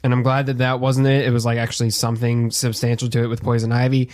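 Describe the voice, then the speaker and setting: A man co-hosting a podcast, discussing casually and giving measured, carefully qualified opinions. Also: mid-range voice, completely flat delivery, darkish tone. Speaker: podcast co-host.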